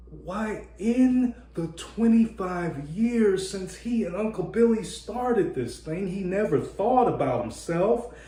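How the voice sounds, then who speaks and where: A man's voice: sits high, mellow, exaggerated voice. A man acting an earnest, indignant man in an emphatic, dramatic radio play monologue. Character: earnest, indignant man defending his father. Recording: echoey room.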